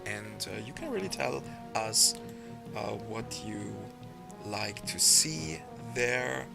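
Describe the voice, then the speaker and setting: A man's voice: on the low side, expressive, takes it slowly, vocal fry, music in the background. A man hosting a game livestream, reading viewer comments aloud in a hesitant way with many fillers; English is not his first language. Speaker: stream host reading chat. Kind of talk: casual livestream chat.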